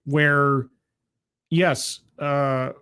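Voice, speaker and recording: A man's voice: fairly high pitch, little pitch movement, very slow, sharp tone, clean tone. A man casually commenting on a played video, sardonic and rambling, with many restarts.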